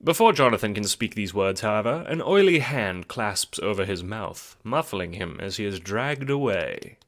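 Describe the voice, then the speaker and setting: A man's voice: fairly low pitch, animated, normal speed, fairly bright tone. A man narrating a scripted audio drama in a theatrical, wry, rhetorical storytelling style. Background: music.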